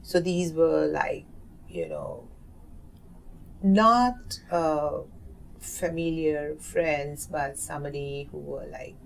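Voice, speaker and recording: A woman's voice: low-pitched, slow pace, vocal fry, a little hiss or hum, poor audio. A woman in her thirties from South Asia, a native Hindi speaker, giving hesitant interview answers with many fillers and restarts. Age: 30s. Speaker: oral history narrator. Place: community center room.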